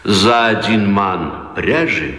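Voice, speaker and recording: A man's voice: fairly low pitch, animated, slow, crowd noise. An actor playing a woeful, fearful captive nobleman, mournful and melodramatic in a radio comedy. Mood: mournful explaining. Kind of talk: theatrical radio comedy acting. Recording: echoey hall, long reverb.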